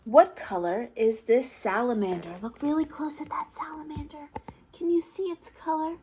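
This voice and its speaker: A woman's voice: high-pitched, steady tone, moderate pace, a little mellow, slight background noise. A woman teacher reading a picture book aloud to toddlers, asking encouraging questions in a sing-song, exaggerated way.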